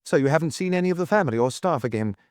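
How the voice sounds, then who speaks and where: Man putting on a higher-pitched young formal magistrate. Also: lively intonation, racing, darkish tone, dry recording, studio quality, natural voice. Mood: probing. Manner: formal, probing. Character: young, formal, inquisitive male examining magistrate.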